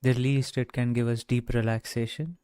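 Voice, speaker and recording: A man guiding a meditation in a gentle, measured way, speaking off the cuff with long pauses. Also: mid-range voice, level tone, clear, resonant tone, no room sound. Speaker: meditation guide. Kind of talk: guided meditation, unscripted talk.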